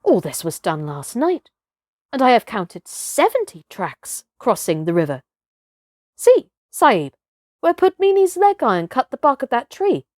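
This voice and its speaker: A woman's voice: a little high, wildly swooping pitch, unhurried, darkish tone, no room sound, clean studio sound. A woman voicing a veteran male elephant tracker in a storybook, solemn and awed, with a grave, declamatory manner. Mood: grave certainty.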